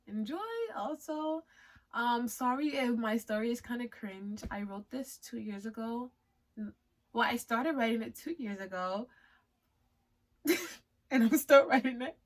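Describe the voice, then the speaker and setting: A woman's voice: fairly high pitch, creaky, a bit bright. A woman from Liberia with a Liberian accent, whose first language is not English, chatting casually with viewers and reading her own story aloud. She uses playful slang and giggles often.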